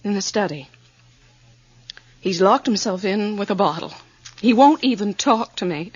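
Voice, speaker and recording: A woman playing an anxious, weary wife and mother in a radio drama, with a husky, breathy voice. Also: mid-range voice, lively intonation, a little slow, no room sound. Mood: worried, strained. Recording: dry, dull lo-fi studio audio.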